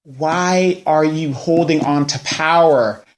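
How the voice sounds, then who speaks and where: A man's voice: fairly high pitch, takes it slowly. A man in his forties hosting a local news show, ranting in a casual way and firing off rhetorical questions. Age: 40s. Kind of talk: casual political commentary.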